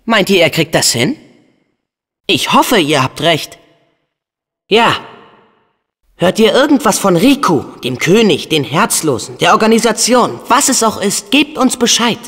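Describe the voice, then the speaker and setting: An actor voicing an earnest, excitable teenage boy hero in a video game, with a youthful, expressive and eager delivery. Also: sits high, measured pace, long pauses, full character voice. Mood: thoughtful, subdued. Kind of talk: video game character dubbing.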